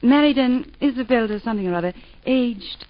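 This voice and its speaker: An actress playing a composed, witty wife and mother who speaks in a gently teasing, lightly ironic way in 1940s radio acting. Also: fairly high pitch, lively intonation, a little fast, natural voice. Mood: sly. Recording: dull 1940s radio broadcast.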